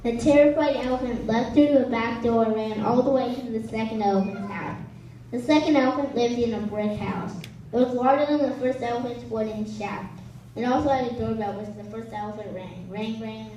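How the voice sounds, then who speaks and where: Female storybook narrator, mellow scripted delivery, recorded far from the mic with a faint noise behind. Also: a little high, a little fast, muffled recording. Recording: echoey room, distant mic, faint noise.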